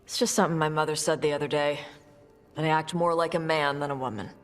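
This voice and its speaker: A woman's voice: deep voice, fairly even intonation, quick pace, lightly acted. Woman acting a blunt young warrior, reverberant. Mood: defensive. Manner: blunt, guarded. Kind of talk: video game cutscene acting. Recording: long reverb, music behind.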